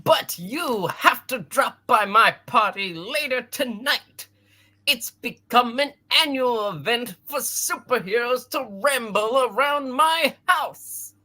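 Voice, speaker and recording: A woman's voice: on the high side, lively intonation, slow, bright, forceful tone, full character voice. A woman voicing an effusive male parade host from a comic book who is secretly a villain, in a grandiose, gushing manner.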